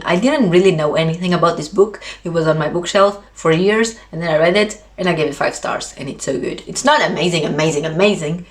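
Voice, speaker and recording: Lower-voiced woman, expressive book vlogger. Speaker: book reviewer video host. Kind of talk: casual chat to camera.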